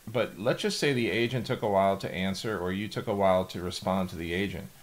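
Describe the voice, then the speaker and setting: A middle-aged man with a fairly low voice. He hosts a casual software tutorial. His explanations are plain and go step by step. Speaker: tutorial host. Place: indoor home office.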